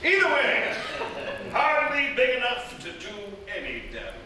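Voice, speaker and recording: A man's voice: extremely high pitch, huge pitch swings, a little slow, pushed and bright, exaggerated voice. A man playing a pompous, portentous narrator in live comedic radio theatre, reading in a theatrical style. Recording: echoey live theatre, far mic, faint noise.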